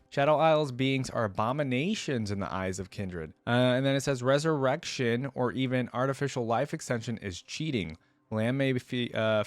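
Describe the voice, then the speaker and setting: A man's voice: mid-range voice, dry recording. A man hosting a reaction video, reading aloud and adding casual commentary as he thinks it through in a conversational way.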